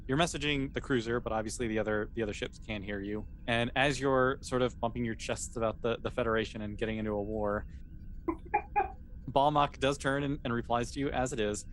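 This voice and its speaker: A man's voice: mid-range voice, normal speed, slight background noise. A man narrating an improvised tabletop game as game master in a conversational, explanatory way.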